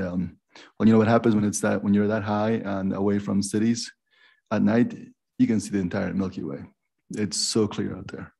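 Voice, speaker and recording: A man tells his own story at an easy, halting pace. His low voice has a gravelly edge and a dark, mellow tone, and he trips over fillers as he goes. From Peru. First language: Quechua. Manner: hesitant, many fillers and restarts. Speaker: panelist, aerospace company CEO. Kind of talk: personal story on a panel.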